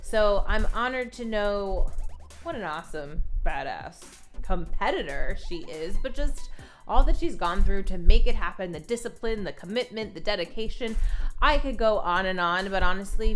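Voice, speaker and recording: A woman host wraps up the episode in an enthusiastic, admiring way. Her pitch is mid-range, and faint music plays underneath. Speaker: podcast host. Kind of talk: closing remarks.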